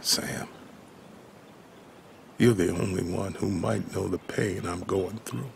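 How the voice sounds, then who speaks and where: A man playing a broken, bitter, grieving old father in a video game, gravelly and halting, with faint noise behind him. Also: sits low, fairly even intonation, heavily acted. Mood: tearful, remorseful. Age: older. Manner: halting, raw, emotionally heavy delivery. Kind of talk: dramatic video game acting.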